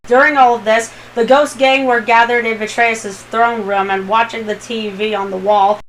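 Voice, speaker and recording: A woman narrates a story as an amateur reader. Her voice is a little high and she tells it with drama. The recording carries some faint noise. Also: fairly even intonation, normal speed, a bit bright, poor audio. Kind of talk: dramatic fan fiction narration.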